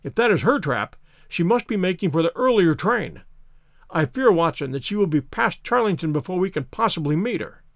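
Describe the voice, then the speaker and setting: A man performing a brisk gentleman detective from a storybook. He is commanding and urgent, speaking in clear exclamations that ride high and swing widely in pitch. The sound is close and dry. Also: big pitch swings, no echo. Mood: impatient.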